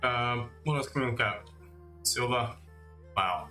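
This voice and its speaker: A man's voice: mid-range voice, unhurried, pushed and bright. A man hosting a live trading stream with casual, conversational market commentary, talking directly to his viewers as mates. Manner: conversational, addressing viewers as mate and guys. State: recovering from cough and lost voice. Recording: roomy echo, faint music.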